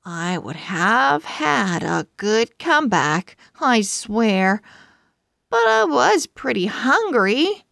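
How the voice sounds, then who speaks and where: A woman voicing an excitable, eager little pug confiding dramatically in a diary in a children's storybook. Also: on the high side, slow, creaky, dry recording, clean studio sound, heavily acted. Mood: eager, dramatic.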